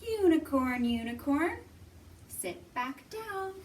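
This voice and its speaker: A woman with a high voice hosts a storytime for small children. She takes her time, speaking expressively in simple phrases as she reads and leads action rhymes. She is recorded some way from the microphone. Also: big pitch swings, slow pace, slight background noise. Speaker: children's librarian and storytime host. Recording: distant microphone.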